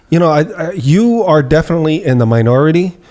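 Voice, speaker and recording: A man's voice: a little high, animated, fairly quick, a little mellow. A man hosting a podcast, reflective and asking probing questions in casual conversation. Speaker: podcast host.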